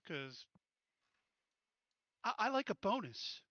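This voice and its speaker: A man's voice: high voice, big pitch swings, slow, a bit bright, no room sound, lo-fi sound, pitched above the performer's own voice, full character voice. A man voicing a tough, mercenary squad leader in a tabletop game, blunt and threatening.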